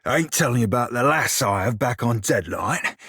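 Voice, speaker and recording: Roguish shiphunter, game character, rough husky voice, salty slang, cocky, dry studio sound. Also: male voice, medium pitch, expressive, brisk, a bit bright, dry recording, exaggerated voice. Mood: wry, conversational. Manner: salty archaic sailor slang, cocky and teasing. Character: swaggering, foul-mouthed blue-furred male pirate shiphunter, roguish. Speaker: video game companion character. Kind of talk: video game character dialogue and barks.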